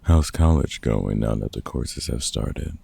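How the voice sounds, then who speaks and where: Gruff veteran monster hunter with a soft heart, played by a man, audio roleplay; very husky, unhurried, sweary mentor. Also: very deep voice, animated, exaggerated voice. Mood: warm, wry. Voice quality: gravelly, husky. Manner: gruff, sweary, casual mentor talk with pauses. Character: gruff, kind-hearted veteran male vampire hunter and woodworker. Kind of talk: comfort ASMR audio roleplay.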